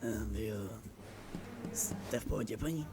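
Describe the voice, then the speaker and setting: A woman's voice: a bass voice, distant mic, background noise. A woman voicing a laid-back, polite male restaurant customer in an amateur cartoon fan dub, subdued and casual. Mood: mild, puzzled.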